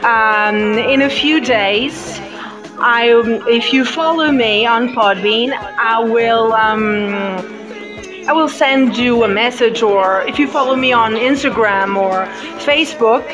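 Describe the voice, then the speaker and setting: Slow, halting woman host with music behind. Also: a little high. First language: Portuguese. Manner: halting, laughing often, many ums. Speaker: podcast host. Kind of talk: casual live chat.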